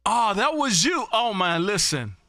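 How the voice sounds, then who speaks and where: A man hosting a live stream from his home radio room, folksy, loud and repetitive as he calls out call signs on air. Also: sits high, sing-song, measured pace, no echo, clean studio sound. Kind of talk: casual on-air radio chat.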